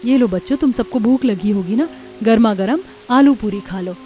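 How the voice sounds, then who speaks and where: A woman voicing a kind, poor mother in a cartoon story, speaking gently and soothingly. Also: fairly high pitch, normal speed, faint music behind, lightly acted. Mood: caring. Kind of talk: Hindi cartoon story acting.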